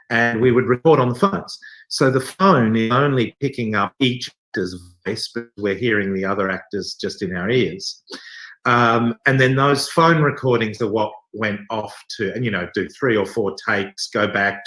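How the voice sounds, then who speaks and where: A man's voice: fairly low pitch, a bit bright, poor audio. An Australian man explaining things in a casual interview, thinking aloud with some wry humour. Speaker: theatre company director being interviewed.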